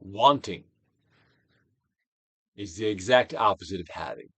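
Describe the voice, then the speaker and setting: A man giving a dramatic, theatrical reading of a self-help book, emphatic, with swells and hushed drops. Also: deep voice, a little slow, husky, no room sound. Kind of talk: theatrical dramatic reading of scripted instructional text.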